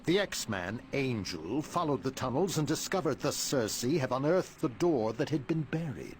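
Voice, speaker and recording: A man voicing a composed, commanding mutant leader in a video game cutscene, with formal, deliberate diction. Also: medium pitch, big pitch swings, slight background noise, lightly acted. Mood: grave, measured. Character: composed, commanding male mutant leader briefing his team.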